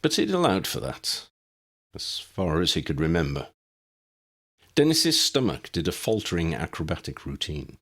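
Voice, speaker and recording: A man's voice: normal speed, breathy edge. A man narrating an audiobook of his own fan fiction as author and reader, telling the story expressively. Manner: expressive storytelling with wide swings in pitch.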